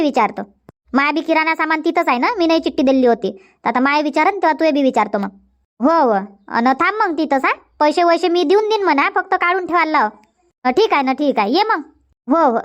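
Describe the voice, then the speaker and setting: A woman plays a good-natured village housewife from a Marathi comedy cartoon. Her voice is high, and she talks in a colloquial rural Vidarbha accent. She banters and nags, repeating her phrases as she goes. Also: fairly bright tone. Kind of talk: dubbed comedy cartoon dialogue.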